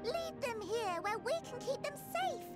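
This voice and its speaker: An actor voicing a small, eager and earnest rabbit-like creature in a video game, excitable and pleading in manner. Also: male voice, very high voice, measured pace, loud music behind the voice, full character voice. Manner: excitable, pleading, flowery British phrasing. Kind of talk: video game cutscene acting.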